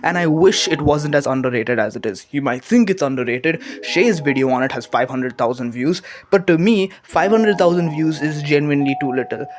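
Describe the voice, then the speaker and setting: Higher-voiced man ranting casually. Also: moderate pace, few pauses, noisy background. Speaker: video commentator. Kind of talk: casual opinionated rant commentary.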